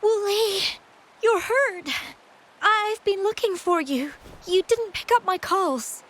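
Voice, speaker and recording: An actress voicing a gentle, anxious, ailing young woman in a video game, speaking softly and politely with hesitant stammers. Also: extremely high pitch, slow pace, full character voice. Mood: alarmed, urgent.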